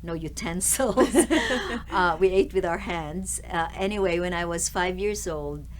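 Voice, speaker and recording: A middle-aged woman from the Philippines, a university president, answering interview questions in a measured, articulate way. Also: fairly low pitch, slight background noise.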